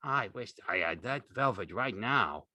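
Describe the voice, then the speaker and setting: A man voicing a big, childlike, slow-witted man who speaks simply and earnestly in ungrammatical rural English, in an audiobook. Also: lowish voice, lively intonation, bright, forceful tone, no echo, voice pushed up, heavily acted. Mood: delighted. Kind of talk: audiobook character acting.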